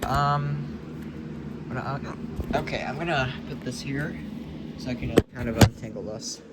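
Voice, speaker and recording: Man, slow and halting, mutters to himself, many fillers, casual vlog, loud background noise. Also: medium pitch. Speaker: vlogger unboxing a weather radio. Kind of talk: casual thinking aloud while unboxing.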